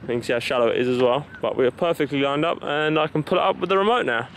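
A man's voice: medium pitch, very fast, gravelly, a crowd in the background. A young man giving chatty running commentary as he handles his sailboat and trailer, filmed outdoors on an action camera. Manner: chatty, running commentary while working on a boat. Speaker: sailing vlogger. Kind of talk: casual vlog narration. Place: outdoors on a sailboat and boat ramp. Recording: outdoor camera audio with wind and water noise. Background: boat, water and wind noise.

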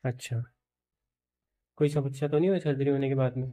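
A man, a doctor, asking a patient plain, quick questions in a casual bedside manner in a clinic room. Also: mid-range voice, steady tone, dark tone.

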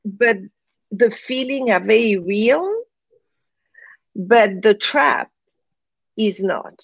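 A woman's voice: medium pitch, extremely slow, vocal fry, breathy edge, no echo, highs cut off. A woman with a French accent, speaking reflectively as a guest in an interview and often checking in with "right?". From France, raised in Morocco. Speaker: guest, transformation coach.

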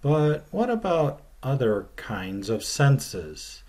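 Slow, deliberate male teacher. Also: mid-range voice, sing-song, darkish tone. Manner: slow, deliberate explaining with falling phrase ends. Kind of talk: recorded video lecture. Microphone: webcam at desk.

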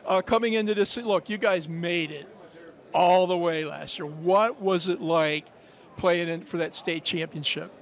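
Older man, high-pitched, folksy and teasing, handheld mic. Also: steady tone, a little slow. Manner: folksy, joking, teasing questions. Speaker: sports interviewer. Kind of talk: friendly sideline interview. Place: indoor media backdrop at a football event.

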